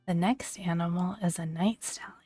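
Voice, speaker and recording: A woman speaking softly and gently in a breathy near-whisper, narrating a video game as ASMR. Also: fairly low pitch, fairly even intonation, moderate pace, no room sound. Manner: gentle, hushed delivery. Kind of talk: soft-spoken ASMR video game commentary. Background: soft background music.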